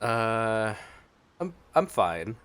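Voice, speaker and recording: A man's voice: lowish voice, sing-song, very slow, fairly bright tone, smooth. A man giving casual, jokey reaction commentary as a gaming streamer. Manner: jokey, playful. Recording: game music behind the voice.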